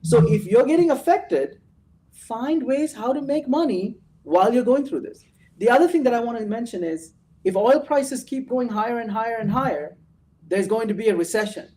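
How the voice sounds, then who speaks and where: A man's voice: very high voice, lively intonation, normal speed, a little mellow, recorded from a distance. A host leading a casual trading show and giving motivational advice, often ending his points with "right?". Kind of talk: casual chat.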